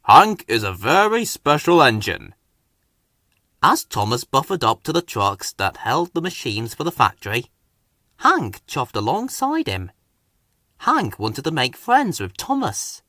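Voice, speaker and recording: A man narrates a children's storybook from a script. His pitch moves around a great deal, the pace is slow, and the sound is dry and close. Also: huge pitch swings, sharp tone, no room sound. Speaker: storybook reader.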